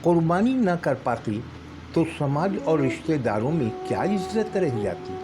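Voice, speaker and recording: Slow-paced man narrating stories, lively intonation. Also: fairly high pitch, noisy background. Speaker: storyteller and channel host. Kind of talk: dramatic Urdu short story narration. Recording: music bed under the voice. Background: background music.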